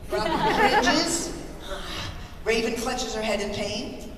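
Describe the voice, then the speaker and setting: A woman's voice: fairly high pitch, sing-song, slow. Encouraging woman directing in an echoey, noisy hall. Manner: encouraging, directive, thanking performers often. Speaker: voice director leading a live table read. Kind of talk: reading stage directions and coaching actors. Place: large convention hall. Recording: echoey convention hall, distant mic, loud crowd noise. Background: live audience crowd noise.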